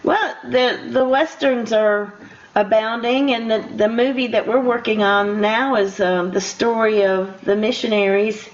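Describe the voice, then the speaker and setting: Older woman hosting, fairly high-pitched voice. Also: unhurried. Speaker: radio show host, interviewer. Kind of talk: casual conversational interview. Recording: dull lo-fi radio interview audio.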